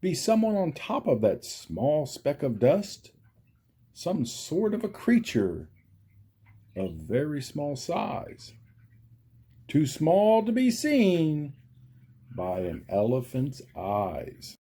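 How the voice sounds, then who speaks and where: A man's voice: on the high side, wildly swooping pitch, slow pace, dark tone, husky, voice pushed up, heavily acted. A man voicing an earnest, kind and protective elephant in a storybook, with emphatic, pleading delivery. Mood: concerned.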